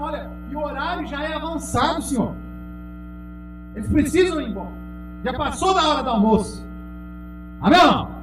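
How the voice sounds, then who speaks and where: A man preaching a sermon with a Brazilian Portuguese accent, calling out to the congregation and pressing hard on key words. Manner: emphatic preaching, calls out to the congregation, drops low and loud on key words. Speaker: pastor. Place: church hall. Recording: echoey, from far off, music behind.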